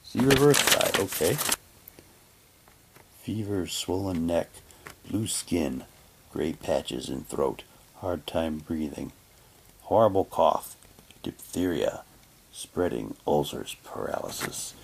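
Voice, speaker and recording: A man chatting casually while reading documents aloud, haltingly, with muttered asides to himself. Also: low voice, very animated, gravelly, slight background noise, poor audio. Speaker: reviewer unboxing an audio drama's props.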